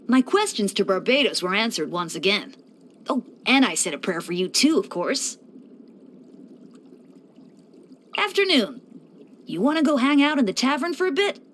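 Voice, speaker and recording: A woman's voice: on the high side, fairly bright tone, a little hiss or hum. A woman voicing a playful young male church deacon in a video game, breezy and teasing with theatrical mock solemnity. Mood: breezy, friendly. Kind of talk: scripted video game character voice lines.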